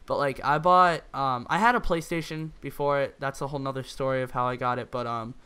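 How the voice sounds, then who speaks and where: A young man with a croaky voice, co-hosting a gaming video and rambling in casual chat with lots of "like" fillers. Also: mid-range voice, fairly even intonation, brisk, bright, forceful tone. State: croaky, throat-clearing. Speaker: gaming channel co-host. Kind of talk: casual chat over gameplay.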